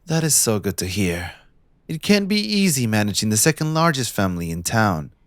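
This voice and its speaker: A man playing a possessive, manipulative young mafia heir in an audio roleplay, condescending and smoothly taunting with theatrical politeness. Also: lowish voice, very animated, normal speed, no echo, studio quality. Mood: feigned courtesy. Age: young adult. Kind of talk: scripted ASMR audio roleplay addressed to the listener.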